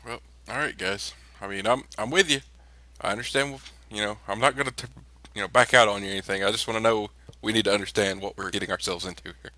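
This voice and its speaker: A man's voice: fairly low pitch, steady tone, fast talker, bright, forceful tone, no room sound. A man playing a tabletop game, talking casually in a hesitant, hedging way.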